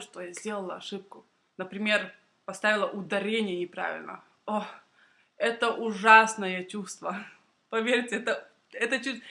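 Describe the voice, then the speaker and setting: A young woman, a native Russian speaker, tells a prepared personal story to camera for language learners in a deliberate, clearly phrased, expressive way. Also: medium pitch. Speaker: Russian language teacher and video blogger.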